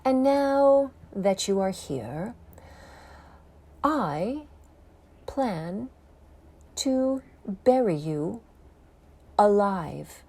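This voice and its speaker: A woman's voice: mid-range voice, lively intonation, very slow, long pauses, exaggerated voice. A woman voicing a cold, vengeful man who mocks and threatens the person he is walling up. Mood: menacing. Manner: menacing, mocking, quietly cruel.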